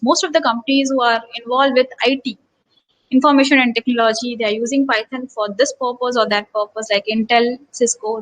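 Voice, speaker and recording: A woman's voice: high-pitched, poor audio. A woman from Gujarat, an associate professor giving an online workshop lecture and asking her listeners rhetorical questions. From Gujarat, India. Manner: earnest teacherly tone with rhetorical questions.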